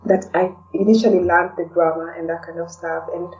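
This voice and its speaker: A woman's voice: fairly low pitch, level tone, a little fast, darkish tone. Woman in casual interview, dull distant recording. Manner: chatty, hesitant, frequently adds "you know". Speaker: podcast guest, blogger. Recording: dull, distant, faint background noise.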